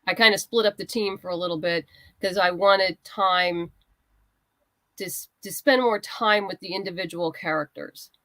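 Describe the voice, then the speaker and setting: A woman's voice: lowish voice, normal speed, bright, forceful tone, no echo. A woman speaking casually as a guest writer in an interview, explaining her creative process and acting out little asides.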